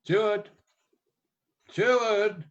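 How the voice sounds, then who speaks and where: A man's voice: sits high, extremely slow, lo-fi sound. A man acting in a radio play as an older professor, a learned foreign doctor who speaks formally and gives grave, urgent orders. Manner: imperative, formal, solemn phrasing.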